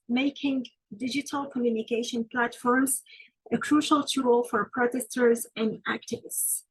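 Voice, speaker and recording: A woman's voice: a little high, breathy edge, no room sound, poor audio. A woman from Tunisia presenting an academic conference paper over a video call, speaking hesitantly with frequent fillers.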